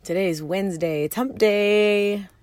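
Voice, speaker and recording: A woman in her thirties with a hoarse voice, hosting a solo money-coaching podcast from her parked minivan in an upbeat, chatty way. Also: mid-range voice, measured pace, a little mellow, dry recording, clean studio sound. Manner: upbeat and chatty, with fillers and restarts.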